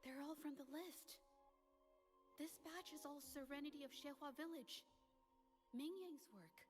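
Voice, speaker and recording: An earnest young anime game heroine with a high-pitched voice. She speaks thoughtfully and haltingly, as if unsure of herself and quick to take blame. Game music plays underneath. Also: big-room sound. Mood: pensive, realizing. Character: earnest young woman, hesitant, prone to self-blame. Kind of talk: voice acting in an anime-style video game.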